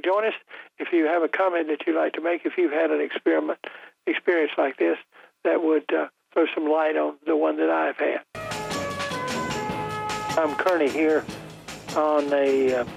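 An older man hosting a call-in radio talk show, talking in a halting way with many fillers and restarts, his voice a little rough. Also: fairly high pitch, fairly even intonation, music in the background. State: voice slightly rough after illness. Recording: dry, tinny broadcast audio.

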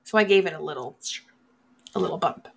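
The co-host on a casual movie podcast who wanders off on tangents, a woman with a gravelly fry in her voice. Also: lowish voice, fairly quick. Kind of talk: casual chat about horror movies.

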